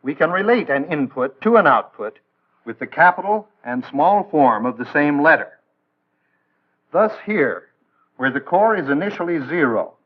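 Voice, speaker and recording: An American man narrating a 1960s instructional film in a measured, didactic way with precise enunciation. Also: on the high side. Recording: band-limited, tinny vintage film soundtrack.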